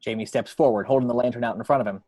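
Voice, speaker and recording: A man narrates the action lines of a screenplay. His voice is medium in pitch and recorded dry, without room sound. He tells it in a measured way that builds suspense. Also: fairly even intonation, darkish tone, no room sound. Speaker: narrator reading action description.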